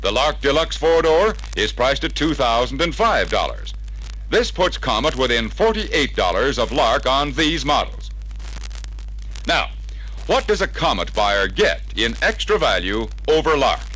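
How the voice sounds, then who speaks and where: An American man reading a scripted 1960s promotional narration in a measured, emphatic, salesmanlike way, from an old record. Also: medium pitch, big pitch swings, sharp tone, poor audio. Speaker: narrator of a salesman training film. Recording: vintage 33-1/3 RPM record sound.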